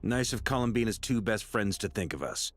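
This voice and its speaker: A wry, easygoing knight. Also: male voice, on the low side, lively intonation, a little fast, a bit bright, no room sound. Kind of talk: video game voice acting.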